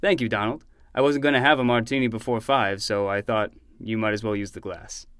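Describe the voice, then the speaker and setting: A man's voice: on the low side, steady tone, a little fast, fairly bright tone, smooth, no room sound, studio quality, natural voice. A man playing an indulgent, affectionate uncle with a wry, playful manner in a fiction podcast. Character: indulgent uncle playing bartender for his nephew. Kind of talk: naturalistic fiction podcast acting.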